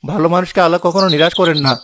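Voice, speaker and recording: Man playing a high-voiced, good-hearted cartoon villager. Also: fairly even intonation, very fast, noisy background, natural voice. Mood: pleading, earnest. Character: good-hearted, confident village husband who helps everyone. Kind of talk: cartoon voice acting.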